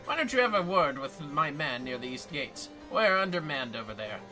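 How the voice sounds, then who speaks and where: A man voicing a gruff, curt, suspicious sergeant from a video game, speaking in a blunt mutter. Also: on the high side, big pitch swings, moderate pace, sharp tone, recorded from a distance, faint music behind, full character voice. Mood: grudging, brisk.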